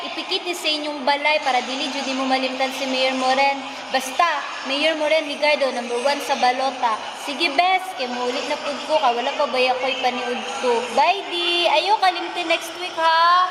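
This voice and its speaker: A woman acts as an excitable young female student in an amateur radio drama. The voice is high and insistent, teasing friends. It echoes in a room with clear background noise. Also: moderate pace, close to an everyday voice. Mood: excited, insistent.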